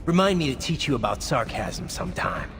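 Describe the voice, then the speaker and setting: An actor playing a gruff, sardonic cyborg swordsman in a video game, terse and gravelly. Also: mid-range voice, very animated, brisk, a bit bright, soft background music. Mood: dry, sarcastic. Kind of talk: dramatic video game voice acting.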